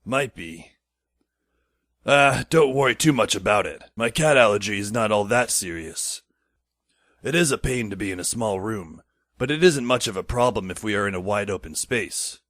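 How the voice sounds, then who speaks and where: A man's voice: lowish voice, expressive, moderate pace, a bit bright, dry recording, clean studio sound. A man playing an affable, long-winded fellow in an audio drama, easygoing and explanatory.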